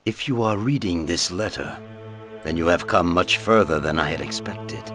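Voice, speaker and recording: An actor playing a gloating, menacing vampire count in a video game cutscene, speaking deliberately over loud music. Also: male voice, low-pitched. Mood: cold threat. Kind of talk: dramatic video game villain acting.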